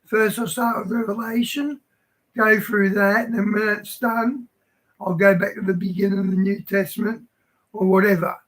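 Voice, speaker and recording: A hoarse man hosting a livestream, reading scripture aloud haltingly and chatting casually. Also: high voice, fairly even intonation, a bit bright, poor audio. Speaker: livestream host.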